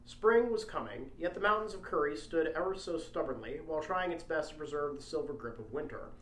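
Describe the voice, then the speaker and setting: Higher-voiced man reading aloud in a roomy space. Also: animated, a little fast, fairly bright tone. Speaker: co-host of a read-along show. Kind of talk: reading a story aloud and casual chat. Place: echoey room. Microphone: small lapel microphone shared by the group. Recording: roomy echo, faint noise, small lapel mic.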